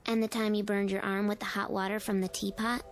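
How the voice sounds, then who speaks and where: A woman playing an eerie, knowing little girl in a radio drama, speaking in deliberate, probing short phrases. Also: medium pitch, little pitch movement, soft background music, lightly acted. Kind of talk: old-time radio drama acting.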